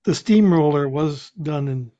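An older man presenting a radio show in an unscripted, chatty way, with a husky, worn voice. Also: a little high, measured pace, mellow. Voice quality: husky, unreliable voice. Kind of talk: unscripted sign-off and chat. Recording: dull, muffled audio.

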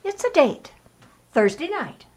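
A vintage radio drama recording. a poised young female clerk whose high voice swings and lilts. She is polite and arch, with a coy edge. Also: very animated, unhurried, darkish tone, slight background noise. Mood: coy, interested. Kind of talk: old-time radio drama acting.

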